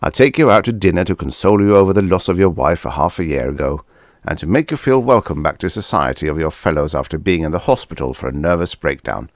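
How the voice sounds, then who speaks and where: A man's voice: sits low, expressive, fast talker, dry recording, studio quality. A man voicing an indignant, curt friend in a science fiction audiobook, stern and exasperated. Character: indignant, curt male friend warning and scolding.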